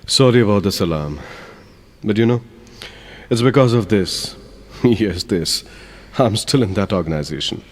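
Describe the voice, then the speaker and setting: An actor with an Indian English accent playing a tired young software professional in an audio drama, mumbling sleepily to himself. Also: male voice, fairly low pitch, animated, a little slow. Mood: sheepish. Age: young adult.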